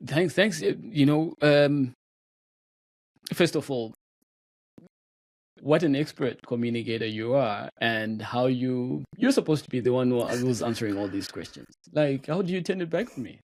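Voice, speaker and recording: A man's voice: medium pitch, lively intonation, unhurried, darkish tone, no echo, rough recording. A man from Zimbabwe hosting a podcast interview, talking conversationally with lots of affirming backchannels and filler words. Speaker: podcast host.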